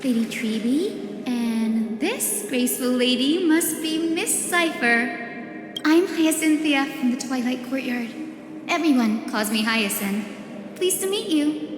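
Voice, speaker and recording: An actress voicing a sweet, earnest, polite young woman healer in a video game, with a soft delivery. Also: sits high, lively intonation, slow. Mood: polite, cheery. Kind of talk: video game character acting. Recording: echoey reverb with music behind.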